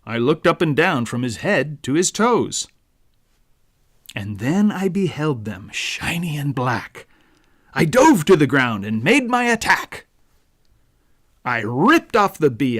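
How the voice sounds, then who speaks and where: A man narrating a rhyming children's story as its author, in a dramatic, deliberate storyteller's manner. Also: fairly high pitch, a bit bright. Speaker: author reading own book.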